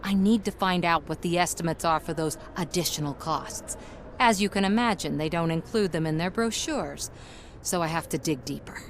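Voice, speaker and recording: A woman's voice: on the low side, moderate pace, a little hiss or hum, natural voice. An actress playing a determined, wry woman activist in a video game, with an earnest way of talking. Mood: earnest, urgent. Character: determined, wry female activist snooping on a corporation.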